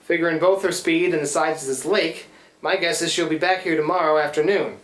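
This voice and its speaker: A man's voice: on the high side, fairly even intonation, a little fast, fairly bright tone, natural voice. A man playing a scrappy, stubborn but devoted riverboat engine man in a radio play, with folksy, ungrammatical speech. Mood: confident. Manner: folksy, ungrammatical, hesitant when tender. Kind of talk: old-time radio play acting. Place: live theatre stage. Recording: roomy live stage sound.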